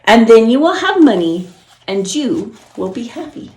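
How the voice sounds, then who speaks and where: A woman voicing a gentle, loving female tree from a children's storybook, with an eager, coaxing manner. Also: on the high side, big pitch swings, unhurried, reverberant, slight background noise, low-quality recording. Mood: gentle, offering.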